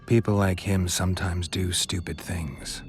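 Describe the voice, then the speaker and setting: An actor playing a gruff, suspicious monster hunter in a video game, speaking in a terse, dry, deadpan way with a gravelly voice. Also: male voice, deep voice, a little slow. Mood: blunt. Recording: loud music behind the voice.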